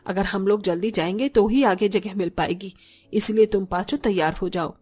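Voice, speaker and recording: Woman as a grumbling village mother-in-law, mid-pitched. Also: moderate pace, a little mellow, slightly rough, lightly acted. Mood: softened, grateful. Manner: complaining, plain-spoken. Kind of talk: scripted Hindi moral story voice acting.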